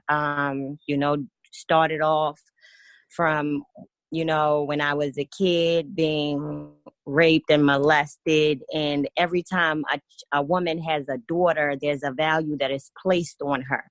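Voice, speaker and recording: A woman in her twenties from Kenya, a guest on a radio talk show, telling her own story earnestly with many pauses and fillers. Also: low-pitched, flat delivery, dry recording. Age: 20s. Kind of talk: radio interview, personal testimony.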